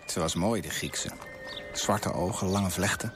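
An actor playing an impoverished male writer, polite, measured and earnest, in a radio play. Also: low voice, over music. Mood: nostalgic.

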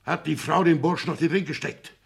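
An actor playing an aggrieved, blustering older petty-bourgeois father with a gravelly, husky voice in a 1960s German radio play. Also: a little high, fairly quick, heavily acted. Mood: reckoning, indignant.

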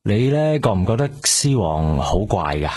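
An actor with a Hong Kong Cantonese accent playing a curious, eager young junior police detective in a radio drama. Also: male voice, lowish voice, big pitch swings, measured pace, a little mellow, slightly rough, heavily acted. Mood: deferential, hesitant. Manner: casual, inquisitive.

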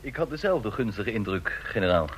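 Lively actor as skeptical army major. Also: male voice, lowish voice, fairly quick, a little hiss or hum, natural voice. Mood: confiding. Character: skeptical army major, deferential to his general. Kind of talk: Dutch radio play acting.

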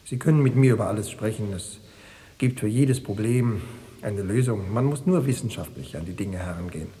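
A man in a radio play acting a smooth, insinuating East German secret police officer, falsely reassuring and bureaucratic. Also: fairly low pitch, a little fast, mellow, long reverb tail, lightly acted. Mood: insinuating, veiled threat.

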